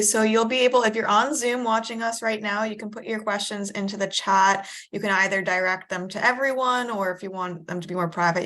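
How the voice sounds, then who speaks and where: A woman's voice: mid-range voice, a bit bright. Helpful woman explaining the chat. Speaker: event staff, chat moderator. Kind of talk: giving instructions on a video call.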